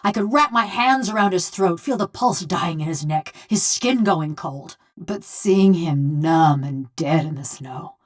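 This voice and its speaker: A woman's voice: lowish voice, measured pace, few pauses. An actress playing a bitter, vengeful female killer trapped in a metal body, speaking folksy rustic game dialogue in a wry, brooding way. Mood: haunted, vengeful. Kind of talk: scripted video game character dialogue.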